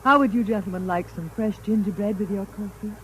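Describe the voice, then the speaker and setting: An actress playing a placid, gracious, youthful wife and hostess in 1950s radio drama style. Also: female voice, mid-range voice, fairly quick, lightly acted. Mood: serene. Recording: noisy vintage 1950s radio recording.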